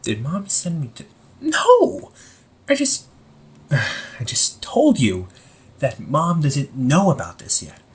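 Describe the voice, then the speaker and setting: A man playing an anxious, wry young supervillain in a casual audio roleplay, talking informally with exasperated sighs and halting phrases. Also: a little high, heavily acted. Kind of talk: amateur audio roleplay, casual conversational acting.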